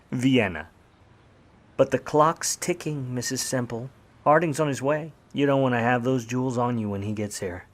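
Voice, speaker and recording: A man's voice: medium pitch, normal speed, lightly acted. A man acting a wry, grudging 1950s Hollywood private eye in a noir radio play, with slangy, sardonic, clipped tough-guy delivery. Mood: wry, pressing.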